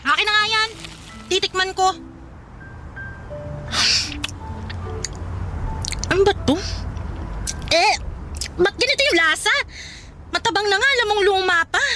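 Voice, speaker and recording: A woman's voice: very high voice, normal speed, long pauses, sharp tone, slight background noise. A woman playing a feisty, jealous young Filipino eatery owner in a radio drama, sassy and scolding. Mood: suspicious, scornful.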